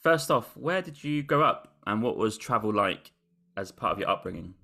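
A man's voice: lowish voice, lively intonation, normal speed, vocal fry, bright, forceful tone. A man hosting a travel podcast, asking short friendly questions in a casual chat. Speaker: podcast host. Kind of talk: casual interview conversation. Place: on a road trip in Quebec.

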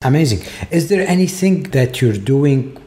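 A male podcast host asks his guest long, open questions. His voice sits at a middle pitch and sounds mellow and dark. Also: expressive, normal speed. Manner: brief acknowledgments, then long questions. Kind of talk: interview questions.

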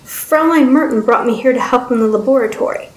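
A woman's voice: on the high side, brisk, darkish tone, echoey room, close to an everyday voice, poor audio. Woman playing a guarded, determined young man. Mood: careful, questioning. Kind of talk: audiobook character voice.

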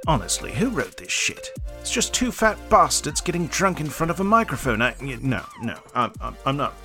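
A man playing a pompous, self-important British actor reading a mock-grand podcast advert in a British accent. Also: medium pitch. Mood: indignant. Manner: pompous, theatrical.

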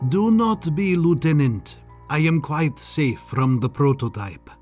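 A man's voice: fairly high pitch, big pitch swings, a little slow, a little mellow, soft background music. A man voicing a smug, gleeful and sinister scientist in an audiobook, with a sing-song, condescending, theatrical manner. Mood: smug, boastful. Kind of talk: audiobook character acting.

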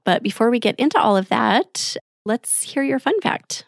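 A woman's voice: fairly high pitch, animated, normal speed, vocal fry, no room sound. Woman podcast host, close dry recording. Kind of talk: scripted podcast intro and friendly interview chat.

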